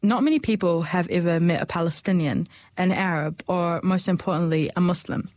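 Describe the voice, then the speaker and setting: A young woman, speaking earnestly and thoughtfully about her heritage, with small pauses and restarts. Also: lowish voice, darkish tone, no echo, clean studio sound. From New Zealand. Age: young adult. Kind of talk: personal reflection.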